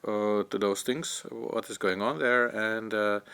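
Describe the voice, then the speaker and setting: Low, smooth-voiced man, halting speech. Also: steady tone, sharp tone. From Ukraine, raised in Finland. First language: Ukrainian. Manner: halting, many fillers and restarts, searching for words. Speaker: interviewee, cellist and filmmaker. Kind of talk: documentary interview.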